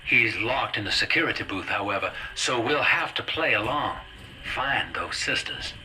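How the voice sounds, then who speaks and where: An actor playing a folksy, plain-spoken guide and ally in a video game, colloquial and matter-of-fact. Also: male voice, mid-range voice, expressive, normal speed, bright, forceful tone, low-quality recording. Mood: wry, steady.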